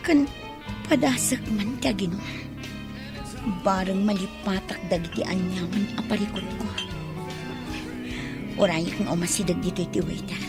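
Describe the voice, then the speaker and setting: A woman plays a defiant, secretive young wife in a radio drama. Her mid-range voice has an Ilocano Filipino accent, with music playing clearly behind it. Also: medium pitch, measured pace, long pauses, close to an everyday voice. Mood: scheming.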